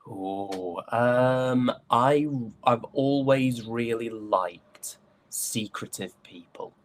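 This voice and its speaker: A man from the UK speaking reflectively as an interview guest, thoughtful and hesitant, often pausing mid-thought. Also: mid-range voice, slight background noise. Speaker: interviewed stage and voice actor.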